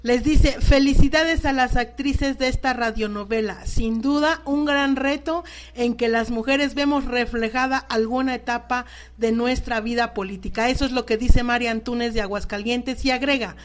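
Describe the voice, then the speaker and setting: A woman's voice: a little high, fairly even intonation, moderate pace. A woman with a Mexican accent hosting a live radio talk show, addressing guests and listeners.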